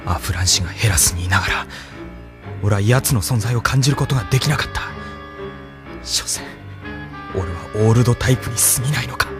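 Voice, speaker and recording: A man's voice: on the low side, a little fast, pauses often, natural voice. Brooding male pilot over music played by an actor. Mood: brooding, intense. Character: brooding male mech pilot, self-doubting and driven. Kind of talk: Japanese sci-fi audio drama acting.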